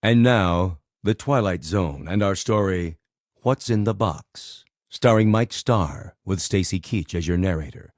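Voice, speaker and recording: A man's voice: low voice, unhurried. A man announcing a radio drama in a formal, scripted introduction.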